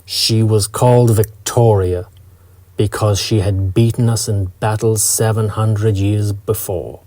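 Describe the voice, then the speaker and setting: A man reading a scripted first-person story in a measured, literary manner. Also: on the low side, fairly even intonation, warm tone, no room sound. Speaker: narrator.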